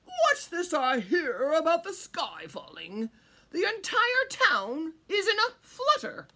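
A woman's voice: very high-pitched, wildly swooping pitch, slow pace, pushed and bright, voice pushed up, full character voice. A woman voicing a regal, reassuring male animal king in a storybook, proclaiming grandly.

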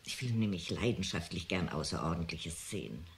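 Gushing, animated woman in a radio play. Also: extremely low pitch, brisk, slight background noise. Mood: hushed awe. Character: enthusiastic woman, amateur filmer of gruesome scenes. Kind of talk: radio drama dialogue.

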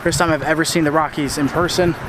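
A young man with an American accent and a slightly high voice chats to a handheld camera. He is earnest and rambling, with casual bro slang. Clear street noise surrounds him outdoors. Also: fairly even intonation, fast talker. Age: young adult. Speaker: tour videographer and vlogger. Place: walking on a town street at night. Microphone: camera at arm's length. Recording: noisy outdoor street at night.

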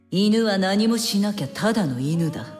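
Game villainess type: cynical, taunting, rough-spoken, very animated pitch, reverberant with music underneath. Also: female voice. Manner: blunt, mocking, rough-spoken. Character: brash, cynical woman, sultry and taunting. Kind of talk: anime game cutscene acting. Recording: long reverb, music behind.

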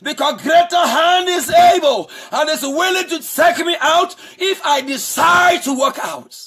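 A man preaching a sermon as pastor, shouting with a repetitive, sing-song cadence. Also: very high voice, unhurried, slight background noise. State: married. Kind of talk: impassioned preaching.

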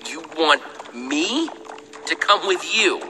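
An actor playing a young, earnest and protective adventurer in a video game, excitable in manner. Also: male voice, a little high, slow pace, noisy background. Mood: impressed, eager. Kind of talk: animated video game voice acting. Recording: game music behind the voice.

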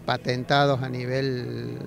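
A middle-aged man with an Argentine accent answering interview questions outdoors into a handheld mic, with halting, hesitant phrasing. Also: medium pitch, level tone, gravelly, crowd noise. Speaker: agronomy faculty representative being interviewed. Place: outdoors at a busy fairground. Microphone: handheld interview microphone.

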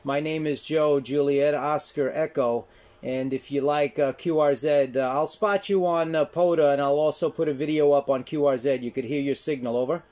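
A man's voice: fairly high pitch, fairly even intonation, normal speed, a little mellow, no echo. A man who hosts an amateur radio channel, talking casually as he narrates and makes radio contacts. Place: small indoor radio desk at home. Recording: noisy room with radio static.

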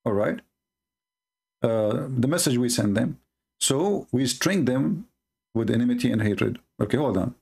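Man, arabic native speaker, gravelly husky voice, sarcastic debate host, combative. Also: mid-range voice, expressive, a little slow, pauses often, darkish tone. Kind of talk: screen-share debate commentary.

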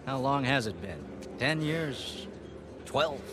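A man's voice: mid-range voice, animated, slow, noisy background. An actor voicing a smug, charming wealthy businessman in a video game. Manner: smug, laughing. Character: rich male businessman rival, smug and charming. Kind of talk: video game cutscene acting.

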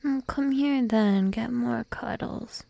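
A woman voicing a shy, needy young sheep-girl girlfriend in an intimate ASMR roleplay, soft, hesitant and drowsy, mostly whispering. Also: on the high side, vocal fry, no room sound, full character voice. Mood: clingy, coaxing. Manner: timid, hesitant, drowsy, slow and cooing.

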